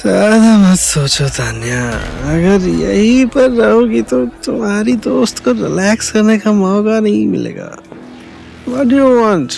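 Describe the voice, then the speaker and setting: An actor playing a scheming, persuasive young club sleaze with a mocking streak in an audio story. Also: male voice, high voice, big pitch swings, slow, vocal fry, a little mellow, soft background music, full character voice. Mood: pressuring. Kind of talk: Hindi audio story acting.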